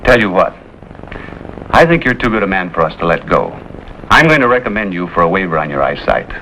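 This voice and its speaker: A man's voice: deep voice, sing-song, a little fast, sharp tone, full character voice. An actor playing a firm, fatherly middle-aged American Navy officer, explaining with authority in 1950s television drama style. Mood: relenting. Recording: faintly noisy 1950s television soundtrack.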